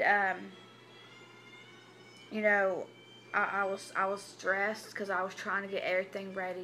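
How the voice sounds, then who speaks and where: Slow, hesitant young woman with a bright voice. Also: mid-range voice, rough recording. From Tennessee. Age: 20s. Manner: halting, hesitant, repeats and restarts words. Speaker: vlogger. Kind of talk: personal confessional vlog.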